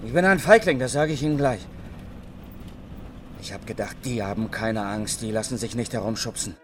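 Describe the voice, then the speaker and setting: An actor playing a middle-aged, embittered and self-loathing racetrack technician in a German radio crime drama, confiding in an edgy, rambling way. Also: male voice, mid-range voice, normal speed, a bit bright, a little hiss or hum, natural voice. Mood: self-reproachful.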